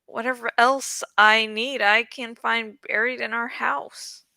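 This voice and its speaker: Woman doing a young farm boy in a storybook, muttering to himself, troubled but set, bright voice. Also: a little high, fairly even intonation, takes it slowly, vocal fry, dry recording, exaggerated voice. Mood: planning.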